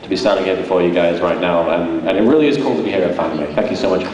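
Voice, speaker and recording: A man's voice: low-pitched, very fast. A man talking casually and jokingly as a guest at a live convention panel, poking fun at himself. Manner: jokey, self-deprecating. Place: large indoor convention panel room. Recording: echoey hall from afar, loud crowd noise. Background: noisy audience.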